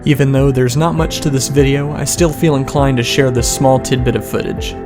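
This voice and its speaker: A male pilot narrating his flight in a relaxed, friendly voice-over. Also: mid-range voice, fairly quick. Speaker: pilot and channel host. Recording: voice-over with music underneath.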